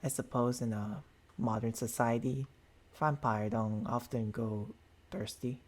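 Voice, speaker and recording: A man voicing a gentlemanly, tender vampire boyfriend in an intimate ASMR roleplay, speaking haltingly into a close binaural microphone with formal endearments and a husky, breathy voice. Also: medium pitch, steady tone, close to an everyday voice. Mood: grave, explaining.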